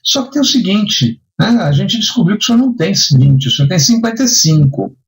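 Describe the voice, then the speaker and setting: A man's voice: sits high, big pitch swings, a little fast, slight background noise. A man with a Brazilian accent giving a conversational lecture, teaching didactically with rhetorical questions and frequent check-ins. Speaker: insurance and risk management instructor. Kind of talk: conversational lecture with hypothetical examples.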